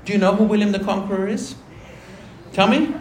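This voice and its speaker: A man preaching a sermon to his congregation, throwing rhetorical questions at them and shifting between quick asides and slow, low, weighty phrases. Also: fairly even intonation, reverberant. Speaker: pastor.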